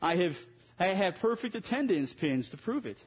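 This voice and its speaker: A man's voice: high voice, sing-song, moderate pace, husky. A man preaching a sermon in a conversational way, mixing scripture reading with self-mocking asides. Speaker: pastor.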